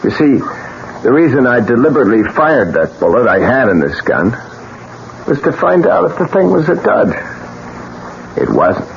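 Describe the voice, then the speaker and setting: A bitter, death-courting painter in a 1970s radio mystery. His delivery is theatrical and mocking, with very lively swings of pitch. The sound is dull and faintly noisy. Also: normal speed, creaky, long pauses. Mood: morbid, bitter. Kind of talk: 1970s radio drama acting. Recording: muffled, noisy 1970s radio drama recording.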